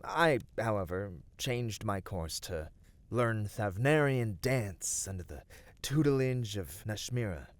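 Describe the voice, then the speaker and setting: A man acts out a loyal male battle dancer from a fantasy game world, comforting a dear friend in ASMR roleplay. His voice sits slightly low and moves very slowly. He is earnest and tender, leaving dramatic pauses between phrases. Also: lively intonation, dry recording. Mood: warm reminiscence. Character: gentle, devoted male Viera battle dancer, loyal adventuring companion. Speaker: voice actor.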